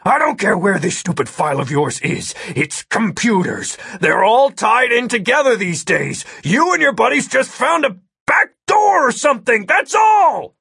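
A man voicing a hot-tempered, indignant male federal agent who shouts and whines his exasperated protests, in an audiobook. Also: extremely high pitch, big pitch swings, measured pace, creaky, few pauses, breathy edge, no room sound, clean studio sound, pitched above the performer's own voice, full character voice. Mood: angry, incredulous. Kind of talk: audiobook character voice.

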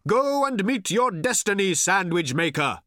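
A man voicing an urgent old male mystic who shouts commands in a booming, drawn-out way. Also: very animated, no room sound, studio quality, full character voice. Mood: urgent, commanding.

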